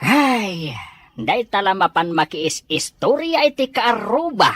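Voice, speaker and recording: An unimpressed older village neighbour, a man voiced by a woman with an Ilocano accent. He grumbles in short, curt replies with lively swings of pitch. The audio drama recording is tight and dry. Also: slow pace, no echo. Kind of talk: Filipino audio drama dialogue.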